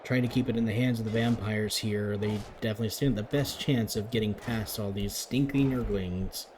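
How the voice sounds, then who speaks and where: A man with a lowish voice calls a game live, chatty and upbeat as he runs through plays. His voice is turning a little raspy from long talking. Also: steady tone, moderate pace, background noise. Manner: chatty, upbeat play-by-play with frequent restarts. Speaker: league commentator.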